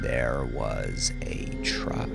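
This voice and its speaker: A man narrating a dramatic scripted audiobook, with deliberate, measured phrasing. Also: a bass voice, creaky. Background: background music.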